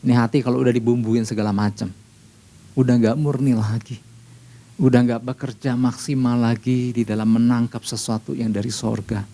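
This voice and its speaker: Mellow, gravelly pastor. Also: male voice, medium pitch, flat delivery, normal speed. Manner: explanatory, repeats key phrases, checks in with "ya". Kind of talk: sermon, conversational teaching with scripture reading.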